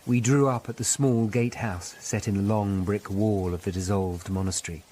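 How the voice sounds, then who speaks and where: A male actor as a sober Tudor lawyer telling his story in a low male voice. His delivery is measured and grave, in scripted radio drama style, over faint ambient noise. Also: fairly even intonation, dark tone, close to an everyday voice. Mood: grave, troubled. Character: Tudor lawyer narrating a murder hunt, sober and troubled. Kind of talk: scripted first-person narration in a radio drama.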